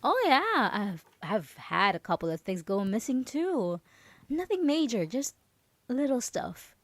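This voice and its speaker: A woman acting as a possessive female roommate in an ASMR audio drama. She speaks slowly and haltingly, with nervous sweetness and feigned innocence. The sound is close and dry. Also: a little high, very animated, no echo, full character voice. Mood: feigned concern. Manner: halting, nervous, giggly, hesitant with many trailing pauses.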